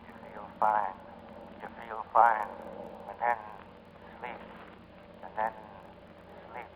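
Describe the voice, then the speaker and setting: An actor playing an ominous, hypnotic remembered voice, repeating itself slowly in 1940s radio style. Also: male voice, lowish voice, level tone. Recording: dull, faintly noisy 1940s radio recording.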